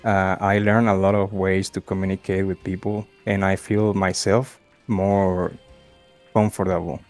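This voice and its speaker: A soft-spoken man with a strong non-native accent who answers in short, halting phrases. His voice is fairly low and flat, and faint music plays underneath. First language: not English. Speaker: guest, game content creator. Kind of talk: interview answers.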